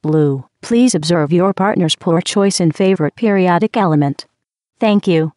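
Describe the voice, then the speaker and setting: A woman voicing a cold, sardonic female AI that runs test chambers in a video game. She speaks with deadpan, passive-aggressive mock politeness through a synthetic robotic filter. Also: lowish voice, normal speed, dry recording, studio quality. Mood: dry, mock-polite. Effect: synthetic robotic vocal filter.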